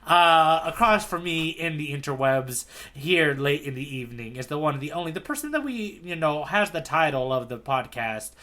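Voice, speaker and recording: A man co-hosting a casual podcast as its guide, bantering in a playful, animated hype-man manner. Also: fairly high pitch, normal speed, few pauses. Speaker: podcast co-host, tour guide. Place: remote online podcast recording.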